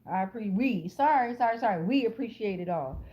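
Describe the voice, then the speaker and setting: A woman's voice: medium pitch, lively intonation, fairly quick, lo-fi sound. An American woman giving a casual, improvised tarot reading to an online audience, blunt and streetwise, swearing freely and repeating phrases for emphasis. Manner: profanity-laced, streetwise, blunt. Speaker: tarot card reader, channel host.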